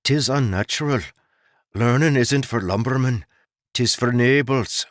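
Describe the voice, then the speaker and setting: A man's voice: medium pitch, a little slow, no echo, studio quality, voice pushed up, natural voice. A man voicing a practical, traditional mother who bluntly disapproves of book learning. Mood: disapproving. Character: practical, stern mother from the woods, wary of change.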